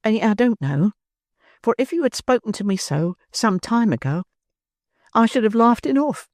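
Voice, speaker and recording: A woman's voice: a little high, animated, normal speed, a little mellow, no echo, studio quality, pitched above the performer's own voice, natural voice. A woman voicing a desperate, frightened young woman of the streets in an agitated, pleading way.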